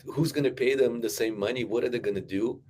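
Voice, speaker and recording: A man's voice: mid-range voice, quick pace, a little mellow, slight background noise. A man from Ukraine whose first language is Russian, speaking earnestly in a casual panel discussion, with frequent restarts and emphatic claims. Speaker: podcast guest, academic.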